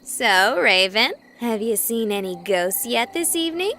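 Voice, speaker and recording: A woman playing an excitable, chatty young streamer exploring a haunted place in a visual novel, with an expressive, playful delivery. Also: on the high side, unhurried, slight background noise, heavily acted. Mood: excited, chatty.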